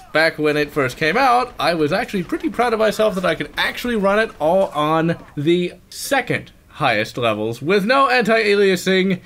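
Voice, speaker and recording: A man's voice: high voice, a bit bright. A man delivering a scripted video game review as a voice-over, opinionated, with theatrical stress on key words.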